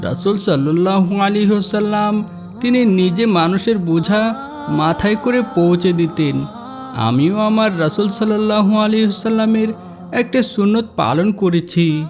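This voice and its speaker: A man voicing a pious, honest boy in a children's cartoon, earnest and gently admonishing. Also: high-pitched, moderate pace, faint music behind, voice pushed up. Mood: humble, earnest. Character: pious, honest, helpful boy, the good older brother. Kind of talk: children's moral cartoon dub.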